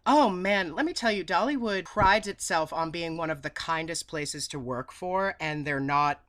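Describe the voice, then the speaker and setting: Man, thirties, New England accent, bright forceful voice, effusive podcast guest, chatty storyteller. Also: a little high, moderate pace. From Massachusetts, USA. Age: 30s. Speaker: podcast guest, theme park singer. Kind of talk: chatty interview answers.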